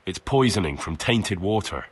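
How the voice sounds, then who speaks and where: A man's voice: low voice, animated, normal speed, background noise. An actor playing an earnest, plain-spoken young medieval commoner in a video game, direct in his manner. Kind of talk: video game dialogue acting. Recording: music clearly behind the voice.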